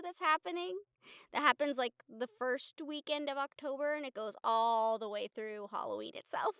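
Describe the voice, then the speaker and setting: A young woman playing an excitable, sweet college-age medium in an intimate, chatty audio roleplay addressed to the listener. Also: high-pitched, no room sound, clean studio sound, full character voice. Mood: excited. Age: young adult. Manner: chatty, giggly, earnest, halting.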